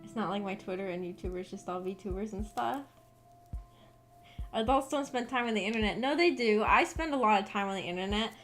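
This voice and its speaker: A young woman talks off the cuff to her livestream chat over clearly audible background music. Her pitch sits around the middle for a woman. She rambles informally, hesitating and circling back as she thinks aloud. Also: animated, brisk. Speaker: VTuber streamer. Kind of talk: casual livestream chat with viewers.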